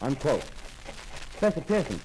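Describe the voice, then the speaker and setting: An actor playing a polite, brisk radio field reporter describing a scene live in a 1930s radio drama. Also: male voice, medium pitch, huge pitch swings, darkish tone, slight background noise, poor audio. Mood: earnest.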